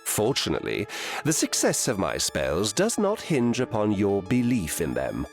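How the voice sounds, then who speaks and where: Game villain: mocking sorcerer god, condescending, theatrical, lively swings in pitch. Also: male voice, normal speed, creaky, soft background music, exaggerated voice. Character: haughty, mocking male trickster god of magic. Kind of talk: video game character acting.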